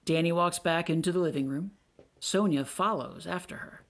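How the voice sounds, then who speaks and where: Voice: mid-range voice, normal speed, creaky, a bit bright. A narrator reading scripted stage directions for an audio play in plain descriptive sentences.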